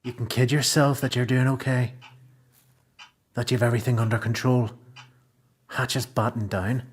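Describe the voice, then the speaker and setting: A world-weary prisoner in a radio play acted by a man. He speaks in a sombre, measured way, at a middling pitch. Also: flat delivery, husky, natural voice. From Belfast, Northern Ireland.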